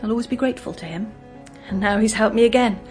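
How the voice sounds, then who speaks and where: An actress playing a gentle, guarded young English woman in a radio drama, speaking in an earnest, hesitant, confiding way. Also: a little high, fast talker, soft background music, lightly acted. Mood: subdued, confiding.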